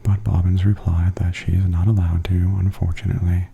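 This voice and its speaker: A man giving softly spoken ASMR tour commentary in a breathy, often near-whispered voice, gentle and observational. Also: deep voice, fairly quick, highs cut off. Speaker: vlogger giving a walking tour. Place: walking through a theme park and its indoor attractions. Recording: steady background noise and ambience.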